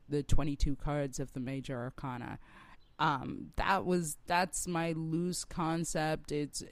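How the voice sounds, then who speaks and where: Slow, smooth-voiced reflective woman. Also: sits low, a little mellow, no room sound. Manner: reflective, searching for words as she goes. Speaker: rock singer, guest. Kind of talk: conversational interview.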